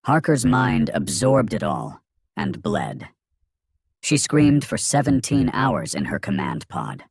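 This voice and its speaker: A man giving a dramatic scripted narration of a science fiction story, grave and in clipped phrases with a gravelly, husky voice. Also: mid-range voice, wildly swooping pitch, slow, dry recording, studio quality.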